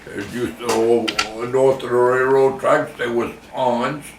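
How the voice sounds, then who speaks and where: An older man reminiscing in a casual oral history interview, talking in halting, rambling phrases and repeating himself. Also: medium pitch, steady tone. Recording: echoey room, distant mic, faint noise.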